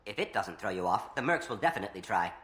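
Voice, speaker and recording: An actor playing an anxious, protective civilian in a video game, speaking in an earnest, plain way. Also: male voice, fairly low pitch, sing-song, fast, fairly bright tone. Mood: wary, informative.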